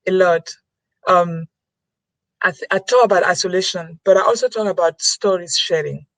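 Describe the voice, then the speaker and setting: A woman's voice: fairly low pitch, moderate pace, dry recording, low-quality recording. Earnest woman presenting on a webinar. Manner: repeats key phrases for emphasis.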